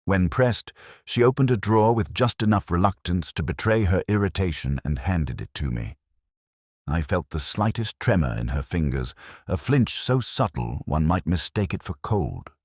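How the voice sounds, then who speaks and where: A man acts out a brooding police inspector with an extremely deep voice that rises and falls expressively. He narrates in deliberate, literary phrases, weary and watchful, as in a detective radio play. The sound is dry, with no room around it. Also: expressive, dry recording. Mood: quietly suspicious. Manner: measured, contemplative, deliberate phrasing.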